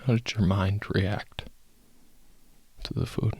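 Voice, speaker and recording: A man leads a guided meditation at a very slow, unhurried pace. His voice is husky with a heavy creak, and he speaks softly and calmly, leaving long pauses between short instructions. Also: fairly low pitch, no echo. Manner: calm, unhurried, soft-spoken with long pauses.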